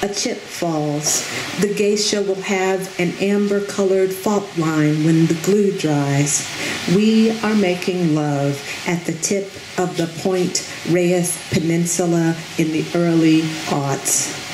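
A middle-aged woman reads poetry aloud at a podium mic, unhurried and deliberate, her voice sitting a little low. The sound is roomy under an outdoor tent, with a steady hiss of background noise behind her. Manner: slow, measured, deliberate delivery. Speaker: poet reading her own work. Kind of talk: poetry reading. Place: outdoor tent stage at a reading. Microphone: podium microphone on a stand.

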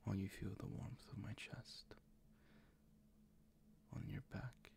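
Male ASMR boyfriend: low, whispered, tender and coaxing, close-miked. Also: steady tone. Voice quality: breathy whisper, gravelly. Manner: tender, slow, soothing, gently coaxing. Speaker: ASMR roleplay performer as a comforting boyfriend. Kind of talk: improvised boyfriend ASMR roleplay. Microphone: close ASMR microphone.

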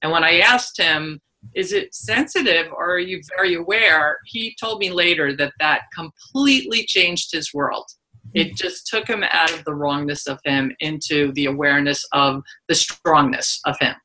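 A woman's voice: low voice, normal speed, bright, forceful tone, a little hiss or hum, rough recording. A woman in her sixties hosting as a school principal, sharing a reflective story over a laptop video call from home. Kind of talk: casual video call talk. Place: home room indoors. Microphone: laptop webcam on a video call.

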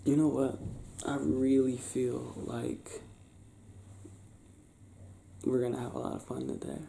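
Mellow, gravelly young man, soft and intimate. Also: mid-range voice, level tone. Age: young adult. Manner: soft-spoken, affectionate, halting and playful. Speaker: ASMR roleplayer. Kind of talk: ASMR boyfriend roleplay, improvised intimate chat. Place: small indoor bedroom, lying on a bed. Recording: roomy bedroom sound with faint hiss.

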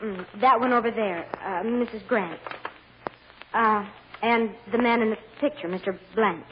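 An actress playing an earnest, deferential hotel chambermaid on the witness stand in a radio courtroom drama, answering in a hesitant, plain-spoken way. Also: female voice, fairly high pitch, measured pace, natural voice. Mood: earnest recounting. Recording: muffled vintage radio recording with clear noise.

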